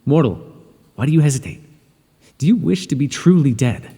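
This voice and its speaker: A haughty, irritable male god of the underworld, played by a man, scolds with dry sarcasm in a warm, mellow voice. His pitch swings widely from line to line. The sound carries a long reverb over faint ambient noise. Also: expressive, normal speed, breathy edge. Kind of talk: ASMR audio roleplay.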